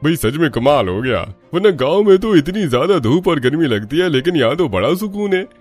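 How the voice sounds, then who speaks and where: A man's voice: a little high, very animated, brisk, faint music behind. Man playing a folksy, easily amazed village uncle. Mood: amazed, pleased. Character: friendly, amazed village uncle, middle-aged. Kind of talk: Hindi cartoon character dialogue.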